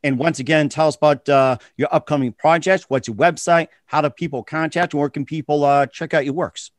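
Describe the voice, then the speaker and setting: A man's voice: a little high, fairly even intonation, fairly quick, dry recording. A man hosting an interview show in a casual, effusive, complimentary way. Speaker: talk show host.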